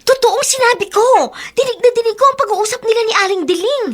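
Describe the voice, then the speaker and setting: A woman's voice: very high-pitched, fast. An actress playing a gossipy, girlish young Filipino housemaid in a radio drama, eager, breathless and chattering. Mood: conspiratorial, gleeful.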